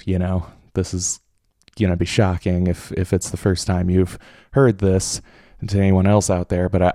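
A man's voice: low-pitched, mellow, no echo, studio quality. A man in his late twenties hosting a casual solo podcast, rambling with lots of fillers and restarts, jittery from coffee. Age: late 20s. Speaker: podcast host.

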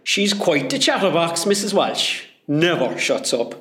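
A man's voice: a little high, very animated, measured pace, a bit bright, roomy sound. A man playing a cheerful, gossipy hospital porter in a comedy radio play, chatty and familiar. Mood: chatty, teasing.

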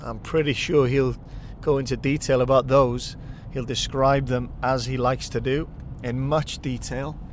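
A man's voice: mid-range voice, fairly even intonation, a little slow, hardly any pauses, a little hiss or hum. Chatty Welsh man talking from his car. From Wales. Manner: chatty and upbeat. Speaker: podcast host. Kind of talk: casual spoken intro while driving. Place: in a car on the road.

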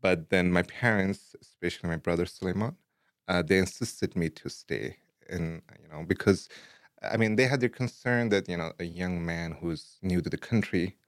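A young man from Afghanistan, a guest in a casual interview, talking in a relaxed way with many "like, you know" fillers and easy laughs. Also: low voice, normal speed, no room sound, studio quality.

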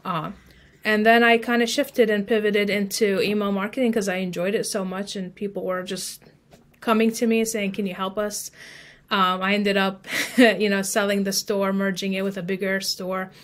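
A woman's voice: mid-range voice, little pitch movement, moderate pace. A woman speaking as an expert guest in an interview, telling her own story in an informal, explanatory way peppered with filler phrases. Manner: informal, explanatory, frequent "like" and "you know". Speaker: podcast guest, email marketing expert.

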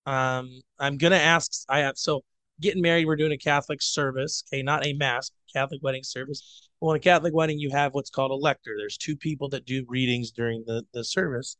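A man co-hosting a casual sports podcast, his voice rough from the flu, blunt and profane as he tells stories. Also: mid-range voice, steady tone, a little fast, a bit bright, no room sound. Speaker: podcast co-host.

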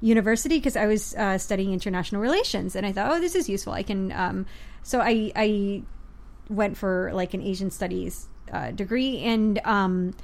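A woman's voice: mid-range voice, lively intonation, normal speed, gravelly. A woman from Miami chatting casually about learning languages, with many fillers and restarts. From United States (Miami). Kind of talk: casual chat.

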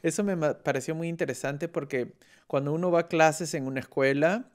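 A woman's voice: deep voice, a little fast, a little mellow. A woman hosting a podcast, asking hesitant questions with many restarts. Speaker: podcast host, interviewer. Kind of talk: podcast interview.

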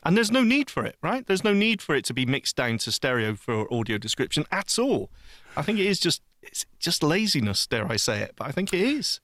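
A man's voice: fairly high pitch, sing-song, a little fast, fairly bright tone, no room sound, clean studio sound. A man co-hosting a casual podcast chat, asking pointed questions and giving firm opinions. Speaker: podcast co-host.

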